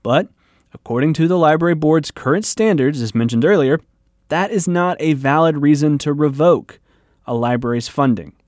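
Higher-voiced American man reporting radio news. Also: normal speed, darkish tone, no room sound, studio quality. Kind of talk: scripted news narration with interview questions.

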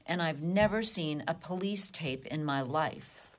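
A woman's voice: deep voice, unhurried. A woman playing a defiant, flippant suspect in a comedic radio play, wry and haughty with clipped retorts. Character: defiant, flippant, sardonic woman under police questioning.

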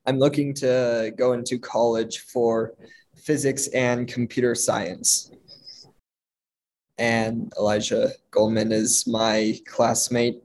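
A teenage high school senior who introduces himself in a formal, recited way. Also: male voice, level tone, slow pace, a little mellow, poor audio. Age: teens. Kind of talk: radio interview.

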